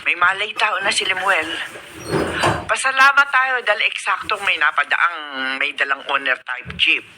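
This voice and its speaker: A woman's voice: fairly low pitch, animated, moderate pace, close to an everyday voice. A woman playing a fretful, outspoken middle-aged aunt in a Filipino radio drama, exclaiming and scolding out of concern. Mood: concerned, practical. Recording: noisy radio broadcast with clicks.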